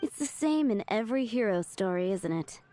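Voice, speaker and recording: A woman's voice: mid-range voice, expressive, moderate pace, dark tone, slight background noise, close to an everyday voice. An actress playing a playful young woman who runs a tavern, in a video game. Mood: wry. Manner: playful, joking and teasing.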